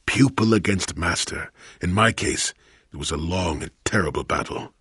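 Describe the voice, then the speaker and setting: Cantankerous old game mentor, very husky, low-pitched, wry, rueful, rambling storyteller. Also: male voice, normal speed, a bit bright, dry recording, studio quality, exaggerated voice. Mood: regretful, sorrowful. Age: older. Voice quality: gravelly, husky. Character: gruff, rueful, cantankerous old male former Jedi. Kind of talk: scripted video game voice acting.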